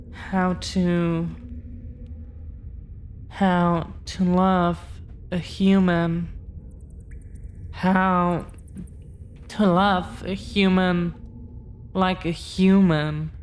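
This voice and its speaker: A woman playing a tender, devoted young dragon-descended girlfriend in intimate roleplay audio, speaking haltingly and earnestly, repeating phrases. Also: fairly low pitch, slight background noise. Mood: grateful, tender.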